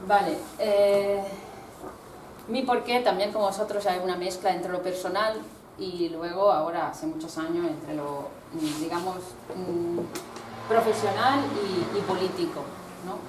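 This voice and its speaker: A woman from Italy gives a talk, presenting slides and reflecting as she goes. She hesitates often, filling gaps while she searches for words. Her voice comes from a distance, in a reverberant room with steady background noise. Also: fairly low pitch, a little slow. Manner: thoughtful, searching for words with many fillers. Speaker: researcher giving a talk.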